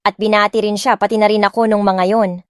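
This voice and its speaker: A woman's voice: medium pitch, little pitch movement, a little fast, clear, resonant tone, no echo, clean studio sound. A woman with a Filipino accent reading dramatic first-person scripted narration as an audiobook narrator. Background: soft music.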